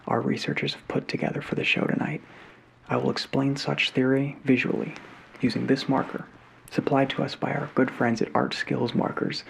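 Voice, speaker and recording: A man playing a cheery 1950s television talk show host, speaking softly with salesman patter. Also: mid-range voice, creaky, slight background noise. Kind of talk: 1950s television announcer parody, soft-spoken.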